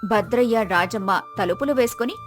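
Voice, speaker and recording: A woman's voice: a little high, moderate pace, soft background music. A woman reading scripted narration for a moral story.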